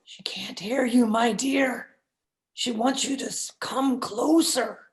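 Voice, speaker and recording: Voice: mid-range voice, fairly even intonation, slow. An actor playing a meek, henpecked husband in an old-time radio drama, hesitant, timid and submissive. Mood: nervous.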